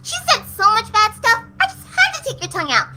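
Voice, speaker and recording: A woman's voice: very high voice, huge pitch swings, fast, pushed and bright, soft background music, heavily acted. A woman voicing a cheerful, sadistic female cartoon pony, chirpy and childlike, in a horror fan fiction reading. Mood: gleefully chatty.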